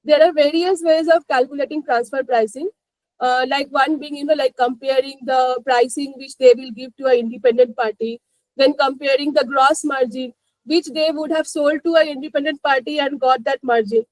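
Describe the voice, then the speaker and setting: Webinar instructor: Indian-accented woman with a high-pitched voice, explaining conversationally, recorded dry. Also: steady tone, normal speed, no echo, rough recording. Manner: repeats 'you know, like' and checks understanding. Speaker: presenter of a finance webinar. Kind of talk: live explanatory lesson.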